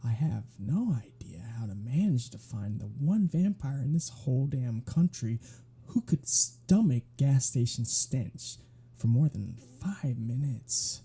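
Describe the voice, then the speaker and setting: A performer voicing a teasing, unrepentant vampire in an ASMR roleplay audio drama, with a husky, breathy voice and a sardonic, casual manner. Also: medium pitch, expressive, unhurried, exaggerated voice. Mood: teasing, fond. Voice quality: husky, breathy, dark-toned. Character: teasing, unrepentant vampire predator travelling with human hunter.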